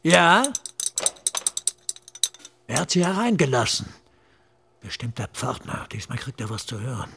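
Creaky, grumpy male pathologist. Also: mid-range voice, sing-song, a little slow, long pauses, background noise. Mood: irritated. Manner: brusque, irritable. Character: grumpy male forensic pathologist, a wary municipal official. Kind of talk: radio drama acting.

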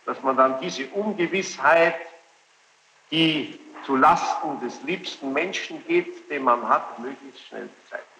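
A man presiding as judge, questioning and giving rulings in formal, halting phrases with pauses. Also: a little high. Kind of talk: courtroom questioning and procedural rulings. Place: courtroom, taped from the room. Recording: echoey, muffled, noisy archival courtroom tape.

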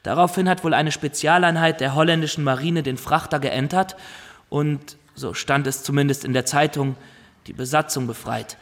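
Radio play narrator guy, sober and formal, voice a bit high, telling what he went through. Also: steady tone, normal speed, a bit bright. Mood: sober, reflective. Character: sober male first-person narrator recounting his ordeal. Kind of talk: scripted radio drama narration.

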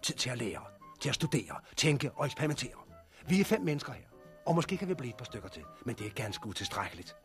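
An actor playing a blunt, forceful, foul-mouthed leader of disaster survivors in a 1960s Danish radio drama, with a gruff, persuasive way of speaking. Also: male voice, medium pitch, sing-song, soft background music. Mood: urgent, persuasive.

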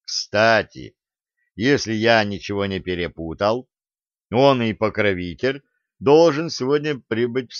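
Male audiobook narrator, lowish voice, scripted first-person fiction, dry and slightly dull recording. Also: no room sound.

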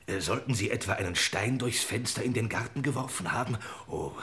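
A man's voice: lowish voice, expressive, a bit bright. An actor playing an honest, officious village policeman, short-winded and pedantic, in an old crime radio play. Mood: officious, reproachful. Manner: pedantic, fussy, drawn-out phrases. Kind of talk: old German radio crime parody acting.

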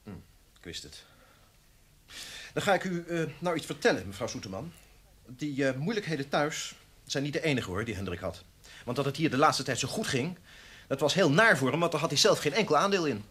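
A man's voice: a little high, lively intonation, a little fast, pauses often, a bit bright, breathy edge. A man with a Netherlands Dutch accent playing a resentful, ambitious younger newspaper journalist in a radio play. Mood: confiding, resentful. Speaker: radio drama actor.

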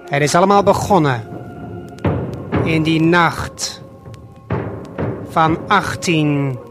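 A man narrating an audio play in measured short phrases, speaking straight to the listener with deliberate pauses. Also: a little high. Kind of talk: slow scripted storytelling addressed to the listener. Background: prominent music.

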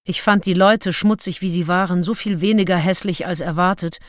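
An actress playing a curious nineteenth-century gentlewoman traveller, reading her journey diary in an observant, matter-of-fact manner. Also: on the low side, fairly even intonation, fairly quick, no echo, studio quality, natural voice. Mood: observant, frank. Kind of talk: radio drama diary reading.

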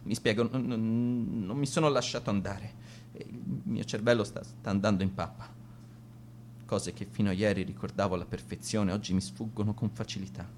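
An actor playing a bitter, resigned man losing his lucidity, delivering a halting, formally worded farewell monologue in a radio drama. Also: on the low side, steady tone, a little hiss or hum, lightly acted. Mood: weary, confessional.

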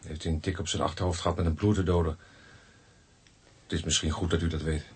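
An actor playing a measured, factual policeman in a radio drama, with a husky voice. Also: low-pitched, flat delivery, heavily acted.

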